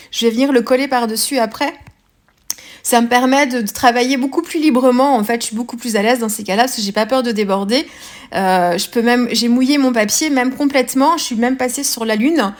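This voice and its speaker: A French woman explaining her scrapbooking steps casually, with many fillers and restarts. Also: fairly high pitch. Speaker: scrapbooking vlogger. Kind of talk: casual craft tutorial narration.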